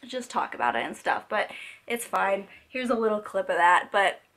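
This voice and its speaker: A young woman vlogger with a voice gone hoarse from a cold. She chats casually and rambles, filling her talk with "like" and "um" and restarting her sentences as she walks through a makeup look. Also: medium pitch, big pitch swings, moderate pace, a bit bright. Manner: chatty, rambling, many fillers and restarts. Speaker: beauty vlogger. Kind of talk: casual makeup tutorial chat. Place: home.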